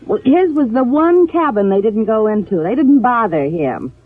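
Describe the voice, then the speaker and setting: A spoiled young socialite from 1950s radio drama, coy, gushing and evasive. Her husky voice swings freely on a narrow, dated recording. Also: on the high side, big pitch swings, normal speed, no room sound. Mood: scornful. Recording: narrow-band, dry old radio recording.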